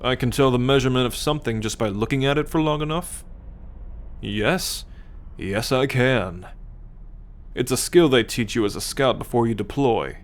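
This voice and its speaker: A man playing a smug, teasing, haughty alien soldier and scout in an ASMR roleplay, talking straight to the listener with deliberate condescension. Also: medium pitch, close to an everyday voice. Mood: teasing, boastful. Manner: slow, deliberate, smugly condescending.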